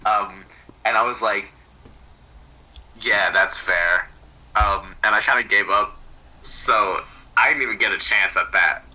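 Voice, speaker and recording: A man chatting casually and telling stories in a halting way, often repeating words and restarting sentences. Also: lowish voice, sharp tone, husky, recorded from a distance, low-quality recording. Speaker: podcast speaker recounting research projects. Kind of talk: casual conversational storytelling.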